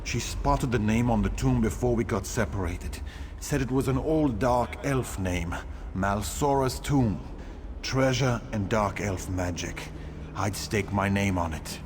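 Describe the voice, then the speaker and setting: A man's voice: fairly low pitch, unhurried, noisy background, lightly acted. An actor playing a wounded, treasure-eager soldier in a video game, telling his tale earnestly and plainly. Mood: weary, eager. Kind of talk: scripted video game dialogue.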